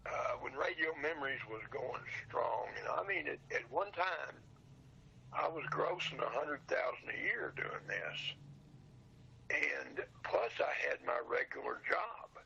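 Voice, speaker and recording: Older male interview guest: very gravelly, lively pitch movement, plain-spoken, on dull lo-fi audio. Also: a little slow, a little hiss or hum. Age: 60s or older. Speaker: interview guest, old radio show dealer. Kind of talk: casual interview chat.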